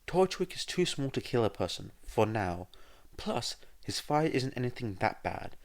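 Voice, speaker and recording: A man's voice: medium pitch, very animated, normal speed, pitched above the performer's own voice. A man voicing a blunt, commanding male scientist in an audio story, speaking with urgent exclamations.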